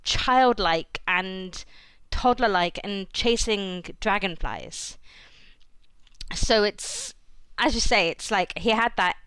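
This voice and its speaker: A woman co-hosting a casual anime podcast, thinking aloud in a measured way with fillers. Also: medium pitch, animated, a bit bright, clean tone, dry recording. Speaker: podcast co-host.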